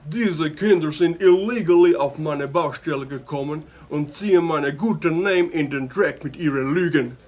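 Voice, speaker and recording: A slick, lying tycoon played by a man in a children's audio drama. His voice is husky and a little high for a man. He has an English accent and mixes in English words as he smugly talks his way out. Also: normal speed, few pauses, a little mellow, natural voice. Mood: indignant. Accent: English-accented German. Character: slick, deceitful businessman, smugly denying wrongdoing.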